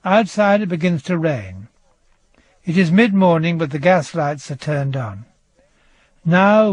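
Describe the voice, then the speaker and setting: A man narrating a true-crime trial drama in a grave, atmospheric, scripted style with a husky voice. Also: fairly high pitch, no room sound.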